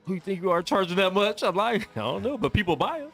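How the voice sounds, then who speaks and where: A man's voice: high-pitched, big pitch swings, fast, fairly bright tone, soft background music. Laid-back man in his forties hosting radio. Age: 40s. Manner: laid-back, laughs often. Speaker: radio show host. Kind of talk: casual studio chat. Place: radio studio.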